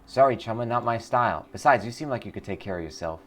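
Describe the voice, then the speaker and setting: A man's voice: mid-range voice, animated, fast talker, a bit bright. A man voicing a streetwise, cocky but friendly fugitive in a video game. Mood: friendly, brisk. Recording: clear background noise.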